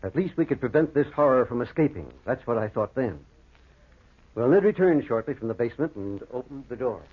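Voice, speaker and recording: A man acting an earnest, excitable older doctor who narrates a ghost tale in old-time radio horror style, with dramatic, deliberate delivery. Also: medium pitch, breathy edge, phone-quality sound. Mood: grave dread. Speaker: narrator and lead actor. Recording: thin, noisy vintage radio recording.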